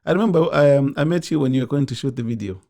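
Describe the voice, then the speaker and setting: A man hosting a casual interview, relaxed and repetitive, filling in with lots of agreeing. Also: mid-range voice, fast, gravelly. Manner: relaxed, repeats words, lots of yeah.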